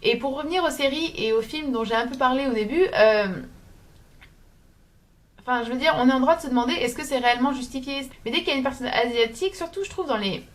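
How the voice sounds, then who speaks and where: Higher-pitched woman, slight southern French accent. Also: fast. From southern France. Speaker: video blogger. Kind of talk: opinionated talk to camera.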